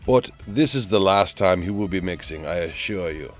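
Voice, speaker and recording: A man's voice: low voice, lively intonation, soft background music. A man playing a pompous, easily exasperated narrator who mocks a painter in a theatrical, mock-dramatic DIY voice-over. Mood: weary, sardonic. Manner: theatrical, pompous, drawn-out repetition.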